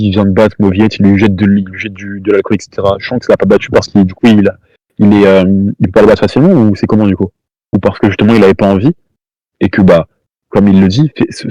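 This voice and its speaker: A man hosts a live stream from a home desk mic and argues hard with a caller, cutting in, repeating himself and throwing insults. His voice sits low, dark and husky, and the words tumble out quickly. The sound is close and dry. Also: no room sound, highs cut off. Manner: insulting, repetitive, talks over the caller. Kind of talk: heated live-stream argument.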